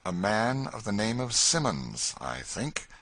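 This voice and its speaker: A man reading an audiobook, voicing a courteous, earnest young gentleman who gives long accounts. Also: fairly low pitch, very animated, unhurried, dry recording, voice pushed up, heavily acted. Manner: earnest, warm-hearted recounting. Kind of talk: audiobook character voice.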